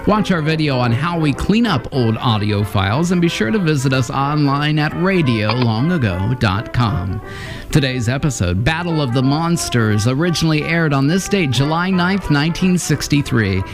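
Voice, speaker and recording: A man's voice: on the high side, animated, normal speed. A man reading scripted promotional narration as an announcer for an old-time radio programme. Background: music.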